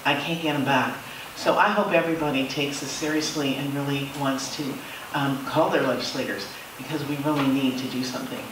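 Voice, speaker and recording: Middle-aged man, earnest panel remarks, halting, far from mic, noisy echoey recording. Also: mid-range voice, fairly even intonation. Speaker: panelist, healthcare worker. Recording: very echoey, distant, noisy room.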